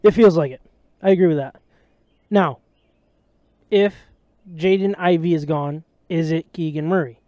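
A man hosting a casual sports podcast, chatty and jokey, with a voice he calls high. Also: a little slow, long pauses, no room sound, lo-fi sound. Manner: chatty, jokey, self-deprecating. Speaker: podcast host.

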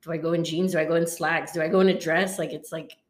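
A woman in her thirties, a guest in a casual chat, talking in a relaxed, rambling way full of fillers. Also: deep voice, fast. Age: 30s. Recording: roomy echo.

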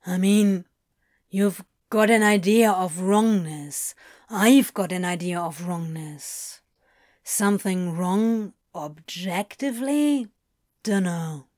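A woman's voice: medium pitch, very slow, darkish tone. A woman voicing a guarded, laconic male demon in an audiobook story, with a clipped, mumbling, offhand drawl. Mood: pensive, offhand.

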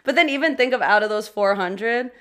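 A woman's voice: a little high, expressive, fairly quick, fairly bright tone. A woman co-hosting a podcast, chatting informally about history and acting out little quotes as she tells stories. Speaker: podcast co-host. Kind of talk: casual conversational history chat.

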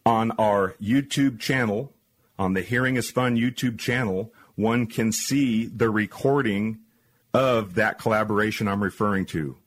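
Podcast host, male, lowish voice, deliberate pacing. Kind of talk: podcast interview.

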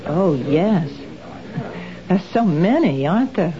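A nervous, guilt-ridden wife speaks hesitantly in a lowish voice that swings widely. She turns defensive fast. The sound is dull and lo-fi, like 1970s radio drama. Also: big pitch swings. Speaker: lead character.

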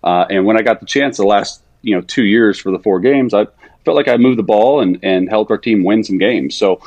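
Low-voiced man in his thirties, relaxed. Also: a little fast, dry recording, highs cut off. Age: 30s. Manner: relaxed, conversational, frequent fillers and restarts. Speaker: guest, NFL backup quarterback. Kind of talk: casual podcast interview.

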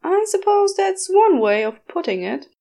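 Woman putting on a high, fussy, cheerful male angel. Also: sing-song, a little slow, dark tone, exaggerated voice. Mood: prim, awkward. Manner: effusive, formal old-fashioned politeness. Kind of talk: audiobook character voice.

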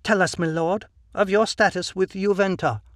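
A man's voice: sits high, steady tone, normal speed, a bit bright, no echo, clean studio sound, voice pushed up. A man voicing a stern female sergeant who speaks in a curt, authoritative way.

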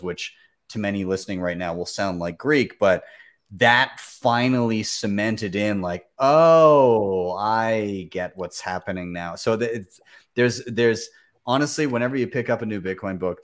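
Low-voiced laid-back male host. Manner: laid-back, joking, swears casually. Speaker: podcast host. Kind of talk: casual live-stream chat.

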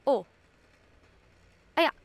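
A woman's voice: slow, no room sound, natural voice. A woman playing a pushy seller of old household goods in a radio drama, insistent and exclaiming. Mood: startled. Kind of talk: Cantonese radio drama acting.

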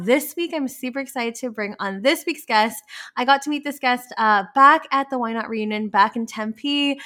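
A woman's voice: on the high side, bright, forceful tone. A woman hosting a casual podcast interview, chatty, with lots of fillers and frequent laughs. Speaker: podcast host.

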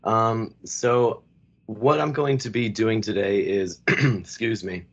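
A male podcast host with a deep, slightly raspy voice. He chats loosely and rambles, filling pauses with ums and likes. The recording sounds muffled and lo-fi. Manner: relaxed, rambling with fillers. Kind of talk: casual chat.

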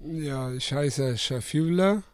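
A young man in his twenties from Afghanistan who answers interview questions haltingly, searching for words and restarting often. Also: on the high side, dry recording, studio quality. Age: 20s.

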